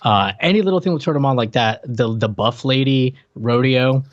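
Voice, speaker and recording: A man co-hosting a podcast, chatting casually with halting, repetitive, slangy phrasing. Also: medium pitch, muffled recording, a little hiss or hum. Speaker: podcast co-host. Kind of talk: casual chat about a reality TV show.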